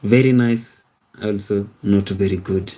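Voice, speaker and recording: Thirty-something guy on a livestream, low mellow voice, kinda flat, rambles and keeps going "you see?". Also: unhurried. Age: 30s. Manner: rambling, repeats words, often asks "you see?". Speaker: livestream host. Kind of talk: casual livestream chat reading viewer comments.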